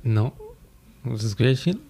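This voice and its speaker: A man's voice: medium pitch, animated, normal speed. Laid-back Mexican man, noisy recording. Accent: Mexican Spanish. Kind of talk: casual football chat among friends. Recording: clear background noise.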